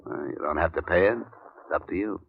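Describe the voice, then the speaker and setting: Tough sardonic private investigator, clipped and low, old radio crime show, dull lofi sound. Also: male voice, fairly quick, creaky, slight background noise. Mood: dry, skeptical. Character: tough, wry, wary freelance insurance investigator. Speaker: lead detective and narrator. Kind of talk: hard-boiled old-time radio acting. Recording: muffled vintage radio recording with music.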